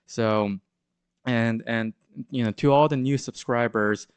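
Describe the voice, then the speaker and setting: A man's voice: mid-range voice, fairly even intonation, takes it slowly, smooth, dry recording. A man with a sore throat and a congested voice hosts his crypto channel, talking through market charts with lots of hesitant fillers and restarts. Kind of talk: casual market commentary.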